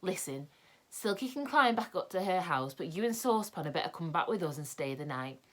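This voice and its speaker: A woman voicing an eager young boy in a children's story, quick and a little alarmed. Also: fairly low pitch, lively intonation. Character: lively young boy adventurer.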